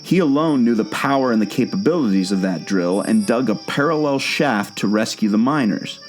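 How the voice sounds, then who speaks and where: A man's voice: medium pitch, animated, normal speed, soft background music, natural voice. A man playing a knowing, patient guide who tells park legends in a scripted audio drama. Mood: explanatory, dry. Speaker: storytelling guide.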